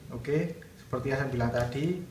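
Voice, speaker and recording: A man's voice: medium pitch, normal speed, over music. A man narrating a casual hands-on tutorial, explaining it step by step as the host. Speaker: video host demonstrating product installation. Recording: roomy, distant mic, clear background noise.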